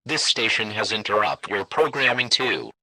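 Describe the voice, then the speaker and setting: Robotic stiff guy reading an emergency alert, lowish voice, dry sound, formal script. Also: sing-song, moderate pace, pushed and bright, no echo, rough recording. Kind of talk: scripted emergency broadcast announcement.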